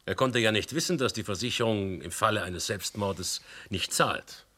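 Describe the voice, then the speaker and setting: An actor playing a calm, probing police commissioner in a radio play. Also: male voice, on the low side, moderate pace, husky. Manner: probing, dry questioning.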